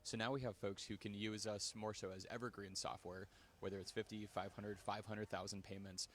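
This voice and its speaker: A man walks through his company's software in a casual live chat, friendly and smooth like a practiced salesman. His voice sits a little low. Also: flat delivery, fairly quick, slight background noise. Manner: friendly, polished, salesy explainer. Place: conference venue, indoors.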